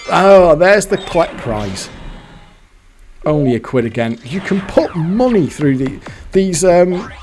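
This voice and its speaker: A man's voice: on the high side, big pitch swings, slow pace, darkish tone, faint music behind. A man giving casual, exasperated commentary while playing a fruit machine, swearing now and then. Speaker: slot machine video host.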